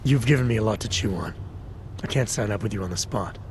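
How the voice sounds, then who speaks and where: An actor playing a guarded, skeptical video game hero who speaks in a terse, dry, questioning way. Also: male voice, lowish voice, fast talker, a little mellow, slight background noise, natural voice. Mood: reserved. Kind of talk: video game dialogue acting.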